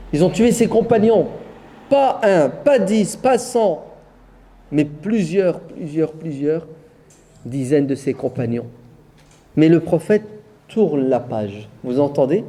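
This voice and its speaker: Dark-toned, higher-pitched male preacher. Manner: rhetorical questions, emphatic repetition. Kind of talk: oratorical religious storytelling to an audience.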